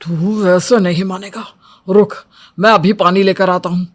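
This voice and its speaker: A man's voice: high voice, fairly even intonation, a little slow. A man playing a stern, exasperated middle-aged father who scolds and nags, in a cartoon moral story. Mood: scolding. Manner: scolding, nagging.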